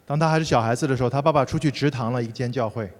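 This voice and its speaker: A man interpreting a sermon consecutively, rendering each phrase fluently and briskly. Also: mid-range voice. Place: large church hall. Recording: hall reverb.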